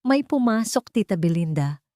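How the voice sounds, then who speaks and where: A woman's voice: medium pitch, big pitch swings, moderate pace, mellow, dry recording, voice pushed up, lightly acted. Casting: cold, guarded father for a romance story, clipped delivery, dry sound read by a female performer. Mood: curt, controlled. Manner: curt, accusing. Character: cold, protective, suspicious father.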